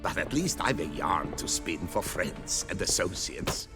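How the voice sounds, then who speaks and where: A man's voice: deep voice, very animated, unhurried, over music. A man acting a genteel, boastful, chatty count in a video game, with a haughty storytelling manner. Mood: smug, chatty.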